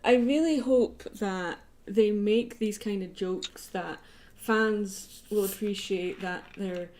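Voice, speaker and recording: Casting: woman streamer, mid-pitched, relaxed conversational chat, noisy home-style recording with clicks. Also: takes it slowly. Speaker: artist hosting a livestream. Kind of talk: casual chat.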